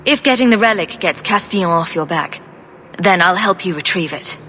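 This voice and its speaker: A woman's voice: lowish voice, lively intonation, moderate pace, bright, forceful tone, slight background noise. A woman playing a direct, composed adventurer for hire in a video game, speaking in a plain questioning manner. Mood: composed, inquiring. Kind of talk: video game dialogue acting.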